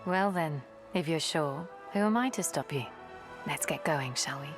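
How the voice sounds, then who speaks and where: Cool veteran soldier lady in a video game, dry, hard to rattle, music really loud behind her. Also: low voice, lively intonation, moderate pace. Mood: wry, calm. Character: cool, self-assured female veteran soldier.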